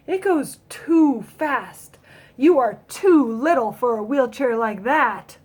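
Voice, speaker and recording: A fretting, coaxing mother from a picture book, played by a woman reading aloud. Her voice is high and emphatic, with words stretched slowly for weight. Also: animated, a little mellow, breathy edge, heavily acted. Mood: concerned.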